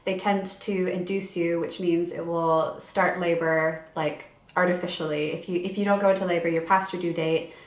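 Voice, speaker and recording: A woman's voice: on the low side, steady tone, moderate pace. A young woman vlogging in a casual, chatty way, full of fillers and restarts. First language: English. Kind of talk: casual chat. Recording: echoey room.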